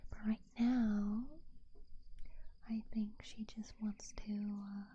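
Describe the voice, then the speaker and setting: A woman streamer whispering soft, breathy, playful ASMR chat very close into a binaural microphone. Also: mid-range voice, little pitch movement, slow pace, muffled recording. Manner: playful, cutesy, giggly. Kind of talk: whispered ASMR, chatting with live stream viewers. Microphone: binaural 3Dio ASMR microphone, very close.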